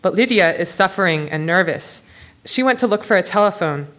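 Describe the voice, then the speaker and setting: A woman's voice: medium pitch, lively intonation, a little fast, a bit bright, natural voice. A woman voicing a tiny, elderly, suspicious woman who talks in terse, blunt questions, read aloud from a memoir.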